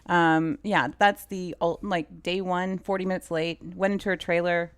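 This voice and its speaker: Female interview guest, lowish smooth voice, informal anecdotal chat peppered with "like". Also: moderate pace. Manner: animated storyteller, quoting dialogue, frequent "like". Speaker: interview guest, film assistant director.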